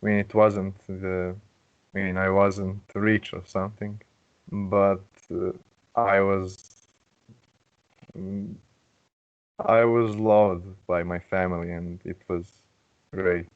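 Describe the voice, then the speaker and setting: A man's voice: sits low, little pitch movement, slow, pauses often, narrow, tinny audio. A young man from Serbia, a Serbian native speaker, introducing himself and correcting himself often in a casual online chat. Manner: hesitant, self-correcting.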